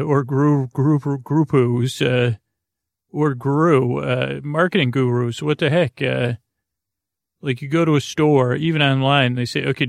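A man with a creaky, gravelly voice talks in a flat, even murmur, drifting off on tangents and interrupting himself. He hosts a bedtime podcast and offers gentle reassurance. The sound is dry and close, with faint music underneath. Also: no echo. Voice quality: creaky, low-key delivery. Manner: rambling, self-interrupting, goes off on tangents. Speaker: sleep podcast host. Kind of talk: meandering bedtime monologue.

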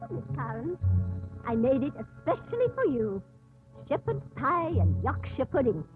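An actress playing a kindly, fussy, chattering nun in a 1950s radio drama, with a homely, fussing way of talking. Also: female voice, sits high, sing-song, unhurried, soft background music. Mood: gently coaxing. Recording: muffled, phone-like 1950s radio recording.

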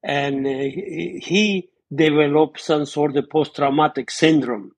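An older man in his eighties with an Italian accent, a fairly high voice for a man, speaking English as a second language. He tells his story earnestly and haltingly, pausing and restarting as he reaches for the right words. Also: creaky. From Italy. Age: 80s. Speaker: guest, retired surgeon and author. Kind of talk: reminiscing interview storytelling.

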